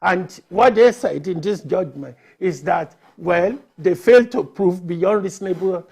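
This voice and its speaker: Lawyer guy on a panel show, raspy high voice with some fry, explaining stuff. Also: measured pace, darkish tone. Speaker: lawyer guest. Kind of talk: televised panel discussion.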